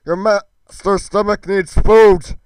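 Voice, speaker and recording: Boastful aging warlord for game roleplay, strained high shout, pompous and combative. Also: male voice, fairly even intonation, slow, clean tone, no echo. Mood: scornful, commanding. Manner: pompous, shouting, speaks of himself in third person. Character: boastful, belligerent aging warrior villain, loud and bellowing. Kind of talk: theatrical fantasy roleplay acting.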